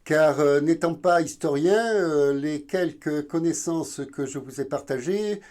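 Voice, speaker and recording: Male video host from southern France: fairly high but soft-toned voice, halting explanatory delivery. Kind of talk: informal educational narration.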